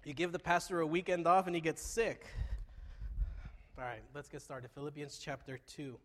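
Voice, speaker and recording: Man, pastor, sermon, stuffy nasal voice, fairly high pitch, reverberant church hall. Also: normal speed. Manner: conversational, self-deprecating humor. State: congested, nasal. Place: church sanctuary.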